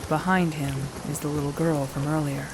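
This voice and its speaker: An actress playing a battle-hardened warrior sister, who narrates in the first person in a measured, firm way. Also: female voice, low-pitched, steady tone, background noise. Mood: calm firm. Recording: rain ambience behind the voice.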